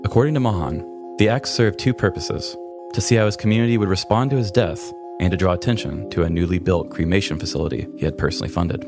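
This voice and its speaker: A man's voice: low voice, fast talker, over music. A man co-hosting a podcast, speaking in the style of a storyteller and casual talker. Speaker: podcast co-host. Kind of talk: scripted true-crime storytelling and casual co-host chat.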